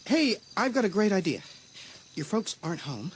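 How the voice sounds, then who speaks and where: A woman's voice: mid-range voice, sing-song, moderate pace, darkish tone, a little hiss or hum, lightly acted. A woman voicing a cajoling, impatient teenage boyfriend in a dramatised audiobook. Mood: coaxing. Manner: coaxing, casual.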